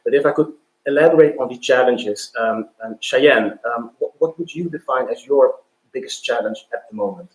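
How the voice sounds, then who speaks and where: A man with a mid-pitched voice hosts a formal webinar. His phrasing is courteous and measured. The room around him is audibly echoey. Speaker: panel host.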